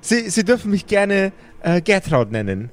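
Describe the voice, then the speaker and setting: A man's voice: sits high, big pitch swings, normal speed, faint music behind, voice pushed up. A man voicing a polite, formal female zombie clerk in an improvised role-playing audio play, speaking with some hesitation. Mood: courteous, flustered.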